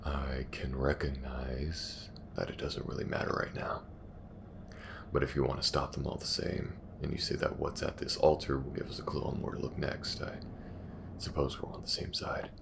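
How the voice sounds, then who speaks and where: A man's voice: a bass voice, monotone, moderate pace, creaky, background noise. A man playing a blunt, skeptical, watchful detective in an audio roleplay drama. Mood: reluctant.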